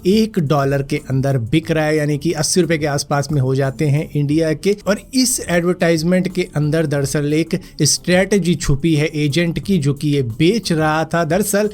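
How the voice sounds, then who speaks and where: A young man with a slightly high voice that is roughened and hoarse from a recent fever. He runs through news items in a chatty, friendly way, talking straight to his viewers as friends. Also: normal speed, hardly any pauses, a little mellow. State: hoarse throat after fever. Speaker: news vlogger. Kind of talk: casual Hindi news roundup.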